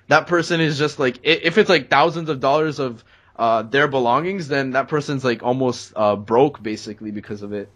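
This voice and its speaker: A man's voice: mid-range voice, fairly bright tone. A young man hosting a commentary show, chatting casually with a guest. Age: young adult. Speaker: host of a commentary video series. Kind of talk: casual chat.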